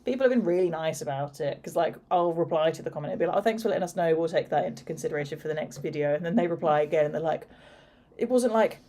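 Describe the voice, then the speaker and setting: A woman with a fairly deep voice, co-hosting a casual chat in a steady, fairly even manner. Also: quick pace. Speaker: co-host.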